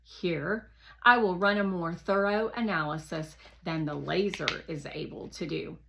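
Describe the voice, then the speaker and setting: A woman voicing a curious, earnest robot rover in a children's storybook, speaking in a precise and measured way. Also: fairly low pitch, creaky, a bit bright, slight background noise. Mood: earnest, focused.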